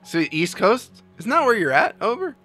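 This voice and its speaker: A man's voice: sits high, sing-song, moderate pace, pushed and bright, faint music behind. A young man from New Jersey hosting a live stream, chatting casually with his chat, rambling and laughing often. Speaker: livestream host.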